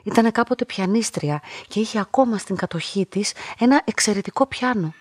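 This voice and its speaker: A solitary young woman narrating a radio play. Her medium-pitched voice is husky and measured, pensive in tone. The sound is very dry. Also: expressive, dry recording. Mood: observant, pensive. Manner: reflective, measured. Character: reflective solitary young woman recounting her own strange story. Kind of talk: literary first-person dramatic narration.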